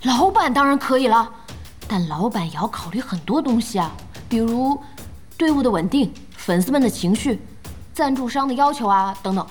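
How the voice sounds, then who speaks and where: Excitable high-voiced young woman acted by an actress. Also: normal speed, natural voice. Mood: thoughtful. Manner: expressive, exclamatory. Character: excitable young female gamer and devoted fan. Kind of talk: audio drama acting. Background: background music.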